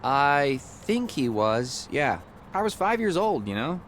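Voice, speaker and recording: A man's voice: on the high side, very animated, slow, fairly bright tone, a little hiss or hum, full character voice. An actor playing an eager, proud young male engineer and rocket inventor in a video game, with a wry, casual manner. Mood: reflective, subdued. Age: young adult. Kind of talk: video game character dialogue.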